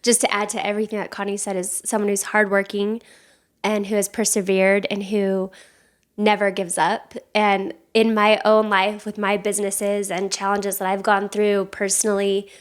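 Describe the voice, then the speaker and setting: Woman podcast host just chatting, casual interview style, everyday mid pitched voice. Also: little pitch movement, moderate pace.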